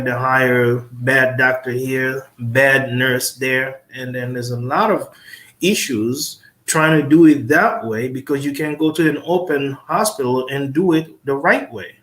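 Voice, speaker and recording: A man from the Congo speaks as a guest lawyer on a panel. He stops often for fillers and pushes his points with emphatic asides. His voice sits at a middle pitch and carries a clear room echo. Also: a bit bright. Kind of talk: casual panel discussion. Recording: roomy echo.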